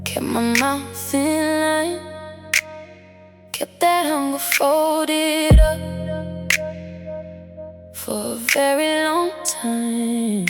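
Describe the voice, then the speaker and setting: A woman singing a slow rhythm and blues love song. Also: sits high. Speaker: singer. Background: loud music backing.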